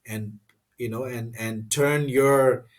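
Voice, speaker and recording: A man, a guest in an interview, answering thoughtfully with many pauses, fillers and restarts. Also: mid-range voice, steady tone.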